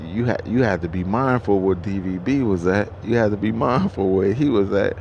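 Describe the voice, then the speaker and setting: A man's voice: lowish voice, normal speed, darkish tone, dull audio, a little hiss or hum. A truck driver in his thirties talking casually to camera at a truck stop, rambling and profane, with many restarts. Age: 30s.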